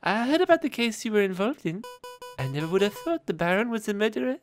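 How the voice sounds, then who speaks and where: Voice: medium pitch, lively intonation, fast, pitched above the performer's own voice, natural voice. A game player voicing a well-bred young female bird, airy and a little haughty, with faint music behind. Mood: musing.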